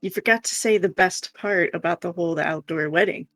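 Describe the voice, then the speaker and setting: A man's voice: high-pitched, fairly quick, pushed and bright, no room sound, studio quality. A man chatting casually as a guest on a livestream, chiming in on someone else's story. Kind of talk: casual chat, interjecting.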